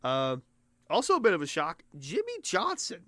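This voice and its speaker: A man hosting a racing podcast, recapping the race in a rambling, excitable casual way while his voice goes hoarse. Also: high voice, moderate pace, no room sound. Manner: rambling and excitable, mimics officials in mock voices.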